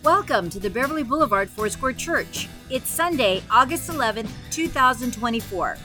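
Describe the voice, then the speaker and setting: A woman's voice: fairly high pitch, lively intonation, normal speed, over music. A woman reading a formal, scripted introduction to a church service. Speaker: service announcer. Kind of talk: scripted announcement.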